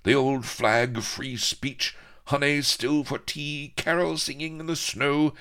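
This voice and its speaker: Indignant, plummy naval hero, pitched up. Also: male voice, fairly even intonation, takes it slowly, a bit bright. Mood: outraged. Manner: plummy, indignant, schoolboyish exclaiming. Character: pompous, patriotic young male naval hero, easily outraged.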